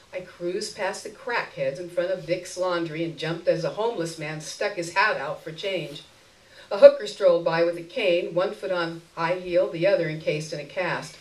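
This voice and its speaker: A woman giving an expressive live reading of a first-person short story, in a literary narration style. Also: on the low side. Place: indoor stage before an audience. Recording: roomy live stage recording, distant mic.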